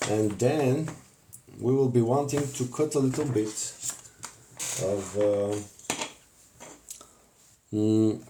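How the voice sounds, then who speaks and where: A man with a Bulgarian accent hosts a casual live crafting demonstration, talking haltingly with many fillers and restarts. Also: mid-range voice, dark tone, slight background noise, poor audio. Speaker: craft livestream host.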